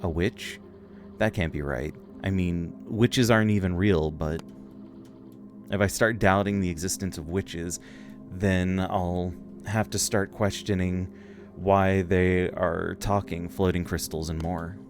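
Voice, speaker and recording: Guy reading as a lost young girl in a game, wary and questioning, very low voice, music behind. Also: steady tone, slow pace. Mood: skeptical. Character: young amnesiac girl, uneasy, skeptical, reluctant. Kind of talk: reading visual game dialogue aloud.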